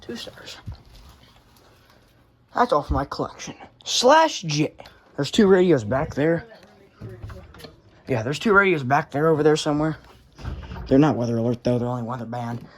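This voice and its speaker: A man's voice: fairly high pitch, animated, normal speed, pauses often, slight background noise. A teenage boy casually showing his weather radio collection at home, rambling and often correcting himself. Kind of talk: casual show-and-tell.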